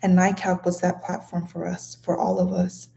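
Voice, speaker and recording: Woman, low mellow voice, earnest and fond, dull lo-fi sound. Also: monotone, moderate pace. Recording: dull lo-fi audio.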